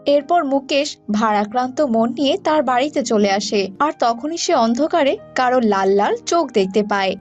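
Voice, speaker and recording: A woman's voice: high voice, expressive, moderate pace, darkish tone, muffled recording. A woman narrator reading a scripted story, with music behind.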